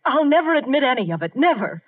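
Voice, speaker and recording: A woman's voice: high-pitched, sing-song. Distressed young woman, tinny old radio. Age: young adult. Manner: breathy, halting, melodramatic. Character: distressed, defensive young woman hiding a secret. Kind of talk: 1950s radio melodrama acting.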